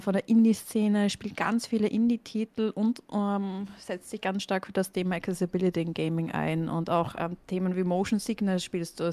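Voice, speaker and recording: A woman's voice: mid-range voice, a little mellow, no echo. Enthusiastic woman podcast host. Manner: enthusiastic, fast and full of fillers. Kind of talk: casual podcast chat.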